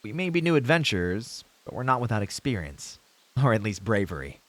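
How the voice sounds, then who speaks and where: Man playing an earnest young hero, lively swings in pitch. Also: fairly quick, dry recording. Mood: rousing, reassuring. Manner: formal, heroic storybook phrasing with hesitant asides. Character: earnest young male novice hero, brave, idealistic, kindly. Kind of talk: fantasy audio roleplay acting, speaking to a companion.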